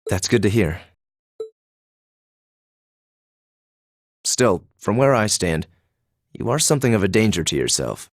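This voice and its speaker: An actor playing a cool, composed young noble student in a video game, speaking formally and with measured, aloof diction. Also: male voice, on the low side, big pitch swings, dry recording, clean studio sound. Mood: amused, probing. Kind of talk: English video game voice acting.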